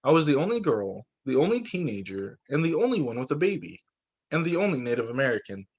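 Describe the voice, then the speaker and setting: A man, a teacher, reading a picture book aloud to students in an expressive, deliberate storytelling way. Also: medium pitch, slightly rough.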